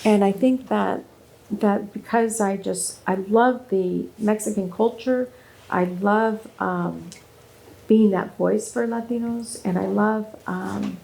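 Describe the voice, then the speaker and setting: Woman, 50s, from Southern California, native German speaker, gravelly voice, earnest and animated, often restarting her sentences. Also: mid-range voice, takes it slowly, rough recording. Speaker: guest speaker. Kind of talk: interview conversation.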